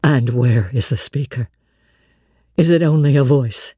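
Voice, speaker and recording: Woman voicing a deep, imperious, brooding gentleman. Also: a little slow, husky, no room sound, studio quality, heavily acted. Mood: anguished. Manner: commanding, impassioned. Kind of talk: dramatic audiobook reading of classic literature.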